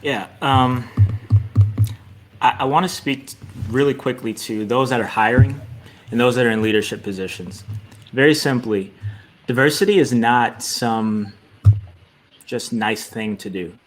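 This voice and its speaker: A man's voice: mid-range voice, a little slow, long pauses, faint music behind, rough recording. Emphatic man asking rhetorical questions. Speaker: sales professional, panelist. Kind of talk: persuasive panel talk.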